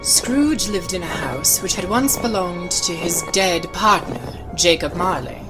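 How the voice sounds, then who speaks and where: A woman narrating a classic story in an expressive, measured way. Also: fairly low pitch, over music, low-quality recording.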